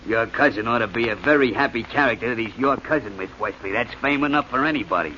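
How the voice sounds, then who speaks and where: A man's voice: medium pitch, sharp tone, close to an everyday voice. A man playing a brash, joking music publisher in 1940s radio acting. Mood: breezy. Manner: brash, fast-joking, gruff. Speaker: supporting actor. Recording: dull, slightly hissy old radio broadcast.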